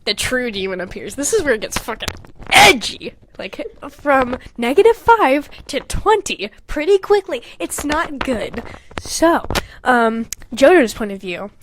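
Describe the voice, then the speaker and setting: Animated, high-voiced young-sounding woman reading aloud. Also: measured pace, slight background noise, poor audio. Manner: self-mocking, candid, giggly, swears freely. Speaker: podcast host. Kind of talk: reading own fanfiction aloud with mocking casual asides.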